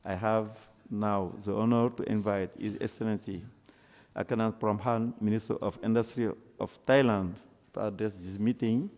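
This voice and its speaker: A man chairs a formal conference session, reading out a speaker's biography item by item. His voice is mellow and dark, and a long reverb hangs over it in a large hall. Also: lowish voice, steady tone, normal speed. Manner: formal, reads a list-like biography. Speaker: conference president chairing the meeting.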